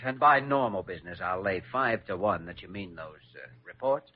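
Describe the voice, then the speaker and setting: An actor playing a wry, easygoing young hospital doctor in a 1940s radio drama. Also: male voice, low-pitched, lively intonation, fairly quick. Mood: wry, teasing. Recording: dull old radio recording.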